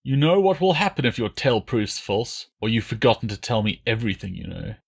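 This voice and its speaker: A shrewd Roman commander, played by a male audiobook reader. He speaks with measured authority, as one used to questioning prisoners and being obeyed. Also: medium pitch, expressive, creaky, breathy edge. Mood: stern, probing. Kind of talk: audiobook character voice.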